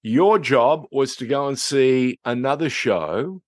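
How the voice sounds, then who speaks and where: A mature man hosts a chatty TV review in a mid-pitched voice. He leans on phrases and repeats them for emphasis. The sound is dry, with very little room in it. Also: lively intonation, takes it slowly, dry recording. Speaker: host reviewing TV series. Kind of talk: conversational review chat.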